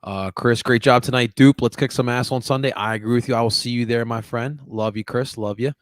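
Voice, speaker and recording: A man's voice: mid-range voice, fairly quick, no room sound, studio quality. A man hosting a sports podcast over a video call, chatting casually and hyping up the fans. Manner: excitable, hypes up fans. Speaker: podcast host.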